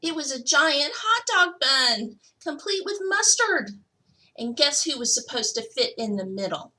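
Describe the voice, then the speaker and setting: A woman's voice: high voice, sing-song, a little slow, sharp tone. A woman reading a children's picture book aloud expressively, stretching out key words for emphasis. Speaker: school technology coordinator reading to children.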